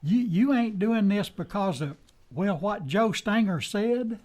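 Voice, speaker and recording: Man acting American western deputy, loyal, folksy, flustered, very animated pitch, radio drama. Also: takes it slowly. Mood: worried, pleading. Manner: folksy drawling talk, flustered.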